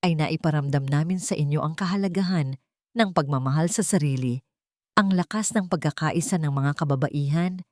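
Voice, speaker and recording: A woman narrating a scripted romance story in an earnest, sentimental, measured way. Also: sits low, darkish tone, no echo, studio quality.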